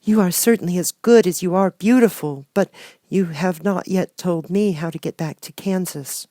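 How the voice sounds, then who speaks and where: Man voicing high, earnest little girl Dorothy. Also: normal speed, dry recording, studio quality, close to an everyday voice. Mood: eager, polite. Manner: bright, polite, earnest.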